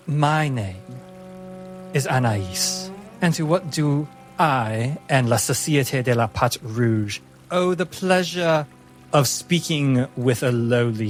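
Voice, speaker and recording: A man voicing a menacing lionkin woman who leads a gang, theatrical, mocking and falsely polite, in a tabletop game. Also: medium pitch.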